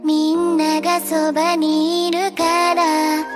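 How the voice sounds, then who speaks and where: A woman singing an encouraging song in a gentle style. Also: extremely high pitch, completely flat delivery, moderate pace, long reverb tail. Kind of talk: sung pop song. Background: music.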